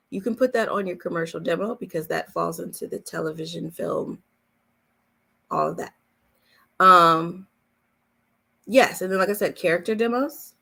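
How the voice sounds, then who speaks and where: A woman's voice: mid-range voice, fairly bright tone. A woman coaching in a casual question-and-answer chat, explaining emphatically point by point with repetition. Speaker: voice-over coach hosting a live Q&A.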